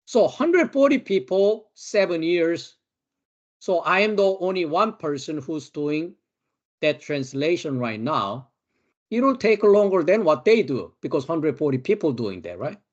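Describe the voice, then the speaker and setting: A man with a Korean accent, a Bible teacher giving a study lecture, slowly and emphatically repeating key words. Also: high-pitched, dull audio.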